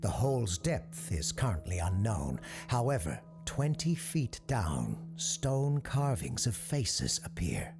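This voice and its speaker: An actor playing a composed, earnest parapsychologist hosting an eerie radio show, speaking in a measured, formal announcer style. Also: male voice, lowish voice. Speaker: host of a paranormal call-in radio show. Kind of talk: scripted radio-show narration.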